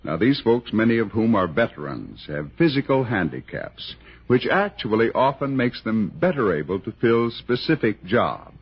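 A man's voice: fairly low pitch, sing-song, moderate pace, breathy edge. Muffled vintage American radio announcer man. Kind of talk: scripted 1960s radio announcing and narration.

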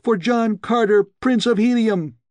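A man's voice: sits high, very slow, warm tone, no echo, pitched above the performer's own voice. A man voicing a proud immortal goddess, fierce and impassioned, in a storybook reading. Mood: fierce shrieking. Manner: shrieking, impassioned.

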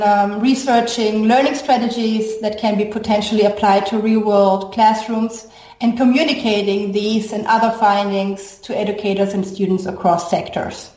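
A woman's voice: mid-range voice, darkish tone. A woman in her thirties with a German accent, explaining her work as an interview guest. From Germany, born in Brazil. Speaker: guest, psychology professor. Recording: echoey room sound.

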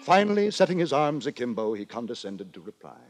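An actor playing an earnest, moralizing gentleman writer who narrates in florid, theatrical style in a 1950s radio drama. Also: mid-range voice, sing-song, fairly quick, heavy background noise, close to an everyday voice. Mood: bemused narrating. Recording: 1950s radio broadcast with music.

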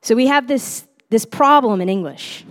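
A woman's voice: a little high, expressive, moderate pace, big-room sound. A young woman giving a reflective teaching talk at church, earnest and often restarting her phrases. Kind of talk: teaching sermon.

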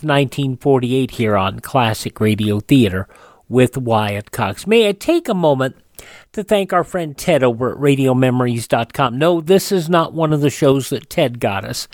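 High-pitched, animated male radio host. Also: no room sound, studio quality. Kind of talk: informal hosting of a classic radio program.

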